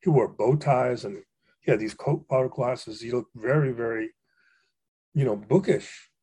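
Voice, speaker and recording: Older man with a dark, husky voice. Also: mid-range voice, a little slow. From Hawaii. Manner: rambling, many fillers and restarts, warm anecdotes. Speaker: poet and guest. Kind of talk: reflective storytelling conversation.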